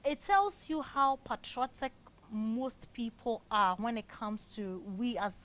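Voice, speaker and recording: A woman with a Ghanaian English accent, a guest on a studio talk show, answering thoughtfully and defining her terms with pauses. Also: fairly high pitch, expressive, a bit bright. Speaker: guest, communications and development specialist.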